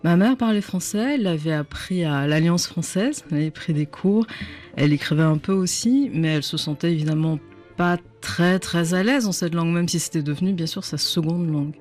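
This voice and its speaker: A woman's voice: on the low side, a little fast, darkish tone, soft background music. A woman novelist whose first language was Chinese, speaking in a radio studio interview, reflective and searching for words. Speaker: novelist guest.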